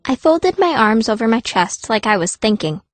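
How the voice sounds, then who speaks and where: High-voiced woman narrating a fantasy audiobook. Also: very animated, normal speed, no echo. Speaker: audiobook narrator, playing the young heroine.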